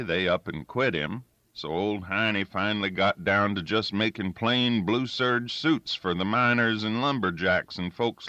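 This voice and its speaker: A man's voice: lowish voice, sharp tone, dry recording, lo-fi sound. A man voicing a crafty old backwoods storyteller in a folksy rural dialect. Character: crafty old backwoods male yarn-spinner.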